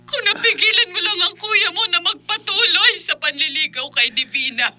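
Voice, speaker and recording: A grieving mother in a radio melodrama. Her voice is high and very sharp, full of sorrow, with faint music behind. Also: normal speed, exaggerated voice. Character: grieving provincial mother of grown sons. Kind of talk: melodramatic radio drama acting.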